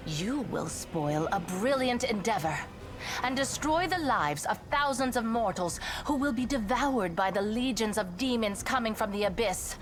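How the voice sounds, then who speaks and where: A haughty demon queen from a video game, acted by a woman, drawling with scheming mockery. Her pitch rises and falls dramatically as she toys with her listeners. Music plays clearly underneath. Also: lively intonation, moderate pace, lightly acted. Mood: cool, persuasive. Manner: mocking, theatrical drawl. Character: haughty, seductive female demon lord, mocking and scheming.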